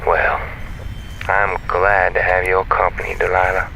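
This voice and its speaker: A man's voice: deep voice, monotone, measured pace, gravelly, sharp tone, a little hiss or hum, heavily acted, rough recording. An actor playing a friendly, chatty, self-assured male space comms operator in a sci-fi audio drama, with a folksy, easygoing and playful manner over a radio link. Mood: friendly, curious.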